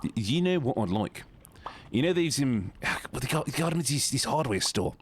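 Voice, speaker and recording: A man's voice: mid-range voice, lively intonation, normal speed, slightly rough, pitched above the performer's own voice. A man putting on an Australian accent to play a chatty, joking bloke who rambles and stumbles over his words. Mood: goofy. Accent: put-on Australian.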